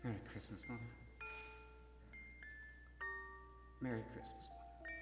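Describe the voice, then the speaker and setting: An actor playing a gravely wounded young soldier in a stage drama, halting, repetitive and pleading, moving between murmurs and cries. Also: male voice, lowish voice, fairly even intonation, music in the background, close to an everyday voice. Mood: tender, wistful. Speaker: actor in a stage adaptation of a radio play. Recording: roomy hall, distant mic, faint noise.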